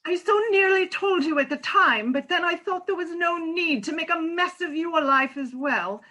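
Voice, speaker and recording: A woman's voice: very high voice, normal speed, bright, forceful tone. A woman playing a frank, middle-aged former nurse, pointed and teasing yet earnest, in a comic stage play reading. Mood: earnest, wistful.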